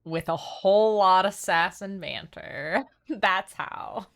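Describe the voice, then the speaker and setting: A woman's voice: medium pitch, slow pace, fairly bright tone. A woman streamer giving casual, playful live commentary on a game, with a giggly, teasing manner.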